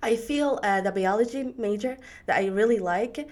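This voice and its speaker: A woman's voice: fairly high pitch, normal speed, darkish tone. A teenage girl from Syria whose first language is Arabic, speaking English with an Arabic accent and answering interview questions hesitantly, searching for words. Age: teens. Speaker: graduating student guest.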